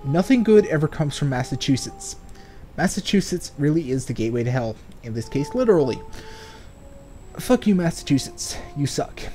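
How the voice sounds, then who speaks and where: A man's voice: fairly high pitch, big pitch swings, normal speed, dark tone, soft background music. A man doing casual game commentary and reading game text aloud, sardonic and free with swearing. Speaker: let's player.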